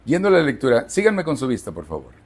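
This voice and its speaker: A man's voice: mid-range voice, huge pitch swings, quick pace. A Mexican man in his sixties preaching a Sunday sermon to his church, emphatic, asking the congregation questions and answering them himself. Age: 60s. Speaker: pastor. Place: church service.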